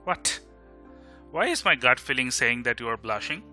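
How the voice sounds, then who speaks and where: A man's voice: mid-range voice, lively intonation, moderate pace, sharp tone, soft background music. A man playing a witty, bookish young Indian student in an audio drama, with an Indian accent. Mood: warm, friendly. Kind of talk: scripted audio drama dialogue.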